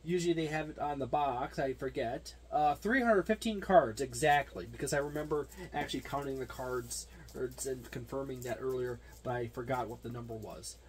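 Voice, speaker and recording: A man giving a casual, unscripted product overview as a hobby reviewer, talking haltingly and wandering into side remarks. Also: medium pitch. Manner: halting, digressive delivery. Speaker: tabletop gaming reviewer.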